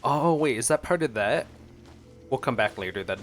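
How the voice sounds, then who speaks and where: A man's voice: medium pitch, animated, normal speed. A man streaming a game with casual reaction commentary, musing aloud and exclaiming. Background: faint game music.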